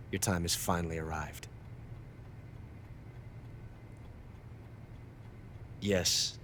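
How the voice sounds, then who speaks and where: An actor playing a cunning, smug double agent in a video game, reporting to superiors in a sly, deliberate way. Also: male voice, sits low, a bit bright, a little hiss or hum. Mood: deferential, sly. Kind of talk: dramatic video game acting, one-sided phone report.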